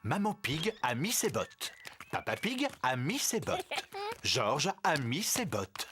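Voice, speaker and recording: A woman narrating a children's cartoon as a calm, friendly storybook narrator with simple, clear statements. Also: sits low, slow pace, faint music behind, heavily acted. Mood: storytelling.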